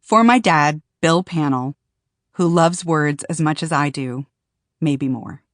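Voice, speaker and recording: Slow, low-voiced woman reading. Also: dry recording, clean studio sound. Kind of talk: scripted reading.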